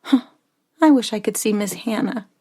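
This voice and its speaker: A woman's voice: medium pitch, moderate pace, dark tone, husky, natural voice. A woman voicing a sweet, pleading four-year-old girl in an audio drama, small and earnest in manner. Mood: shy, wistful. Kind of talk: audio drama storytelling, acted quotes.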